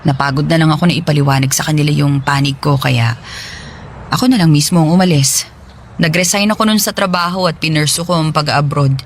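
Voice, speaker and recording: Gentle, forgiving woman friend acted by a woman, noisy backdrop. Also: deep voice, a little fast. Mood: rueful. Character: gentle, forgiving female friend, once publicly shamed. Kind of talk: Filipino radio drama dialogue.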